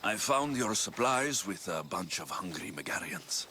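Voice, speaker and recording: A man's voice: deep voice, lively intonation, a little slow, bright, forceful tone, a little hiss or hum, full character voice. A man playing a tough, wry ancient Greek mercenary in video game cutscenes, speaking bluntly with dry menace. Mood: firm.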